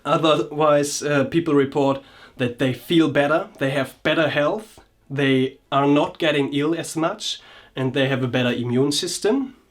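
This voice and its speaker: A man's voice: fairly high pitch, unhurried. A man talking through his personal experience in a reflective vlog, hesitant and often restarting his sentences. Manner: hesitant, searching for words, frequent restarts. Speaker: vlogger and coach.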